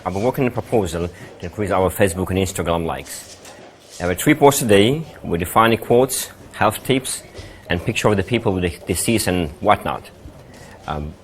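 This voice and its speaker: An actor playing an earnest male marketing employee pitching in a businesslike, presentational way in a video game. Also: a little hiss or hum.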